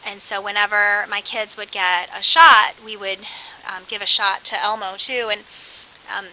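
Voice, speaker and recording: A dull, lo-fi recording with faint hiss carries a woman's very bright, sharp voice. She lectures as an early childhood instructor in an animated, conversational way. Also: mid-range voice, moderate pace. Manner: animated, with acted-out example phrases.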